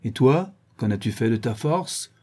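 A man's voice: medium pitch, animated, unhurried, mellow, breathy edge. A man reading a storybook as a stern avenger who gives orders and passes judgement in a solemn, imperious way. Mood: solemn, accusing.

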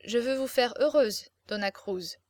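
A woman reading a storybook, voicing a suave, composed nobleman with a soft, measured manner. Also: high voice, dry recording, close to an everyday voice. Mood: gentle. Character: suave, cool, smiling nobleman prince.

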